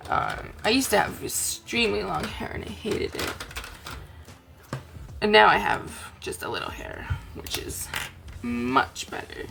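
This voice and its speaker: A tired woman with a raspy voice, chatting casually as a crafting host, rambling gently. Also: mid-range voice, sing-song, measured pace, noisy background. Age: adult, aunt. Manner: rambling, chatty, soft-spoken. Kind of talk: casual chat to camera.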